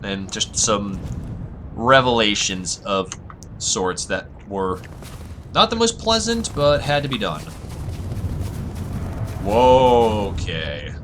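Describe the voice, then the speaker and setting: Husky, tired man thinking aloud. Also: lowish voice, takes it slowly, pauses often, slight background noise. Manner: relaxed, musing thinking aloud. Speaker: gaming channel host. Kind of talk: casual let's-play commentary.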